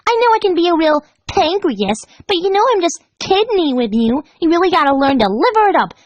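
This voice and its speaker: A woman's voice: very high voice, big pitch swings, brisk, no room sound, highs cut off, voice pushed up. A woman voicing a gleefully cruel, bubbly young party pony in a storybook reading, perky and jokey while she menaces. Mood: gleeful joking. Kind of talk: storybook fan fiction character voice.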